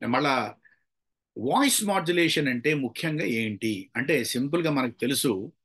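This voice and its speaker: A man's voice: medium pitch, animated, moderate pace, fairly bright tone, husky. A middle-aged man with a Telugu accent giving an informal public speaking lecture, conversational, asking rhetorical questions and dropping in English phrases. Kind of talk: informal lecture with acted examples.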